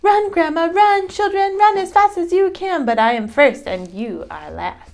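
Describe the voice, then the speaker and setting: A woman's voice: extremely high pitch, lively intonation, normal speed, pitched above the performer's own voice. A woman reading a storybook, playing a cheeky, boastful little gingerbread man in a teasing, playful way. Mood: gleeful taunting.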